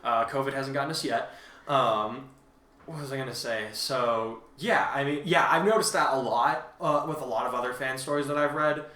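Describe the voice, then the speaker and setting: A man's voice: medium pitch, normal speed, sharp tone, a little hiss or hum. A man chatting casually as a co-host, explaining himself in an emphatic, defensive, rambling way. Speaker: fan fiction author and co-host. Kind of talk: casual conversational commentary on listener reviews. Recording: roomy, echoey home recording, distant mic.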